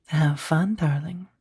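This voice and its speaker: A woman playing a powerful, coolly amused mafia boss in a soft-spoken ASMR roleplay, unhurried and condescending, with a breathy, husky voice. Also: fairly low pitch, full character voice. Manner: unhurried, velvety menace with condescending endearments.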